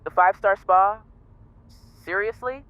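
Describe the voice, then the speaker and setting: An earnest young female investigator calling over a thin phone line. Her voice is mid-pitched and very dry, with no room sound. She sounds worried and a little hesitant. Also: unhurried, smooth, no echo. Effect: phone call filter. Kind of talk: audio drama dialogue.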